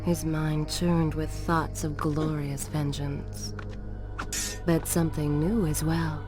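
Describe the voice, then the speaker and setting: A woman playing a grave storyteller, narrating an epic legend in a measured, portentous manner for a video game. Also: low voice, little pitch movement. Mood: wistful. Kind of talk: scripted dramatic narration. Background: music.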